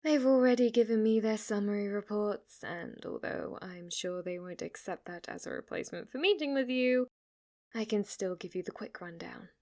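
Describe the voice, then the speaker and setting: An obsessive demon maid, played by a woman in an intimate ASMR roleplay. She is flirty and submissive, speaking to her master with reverent, fanatical devotion and slipping at times into breathy whispers. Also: mid-range voice, moderate pace, a little mellow, natural voice. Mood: devoted, calmly menacing.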